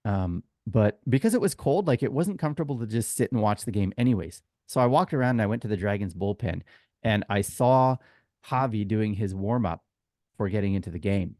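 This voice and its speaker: A man's voice: lowish voice, lively intonation, a little fast, warm tone, dry recording, studio quality. A man co-hosting a casual sports podcast, telling anecdotes in a chatty, informal way and acting out exchanges. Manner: chatty, informal storyteller who acts out quoted exchanges. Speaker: podcast co-host and tour guide.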